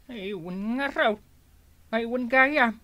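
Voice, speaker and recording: Bright, chatty woman neighbour. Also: a little high, takes it slowly. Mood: conspiratorial. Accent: Ilocano. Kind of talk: Ilocano radio drama acting.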